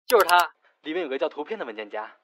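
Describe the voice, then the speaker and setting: A man acting a lively, helpful friend on a phone call in an audio drama. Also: on the high side, rapid-fire, slight background noise. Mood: animated.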